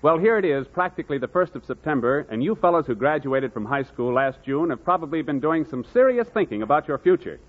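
A male announcer delivering a brisk, persuasive recruiting pitch on a 1940s radio show. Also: animated. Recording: tinny old radio broadcast.

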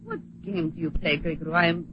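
A woman's voice: fairly low pitch, steady tone, a little fast, natural voice. An actress playing a stern, imperious young woman with an Eastern European accent in an old-time radio drama, speaking in curt, formal commands. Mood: cool, insistent. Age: young adult. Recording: dull, noisy old radio recording.

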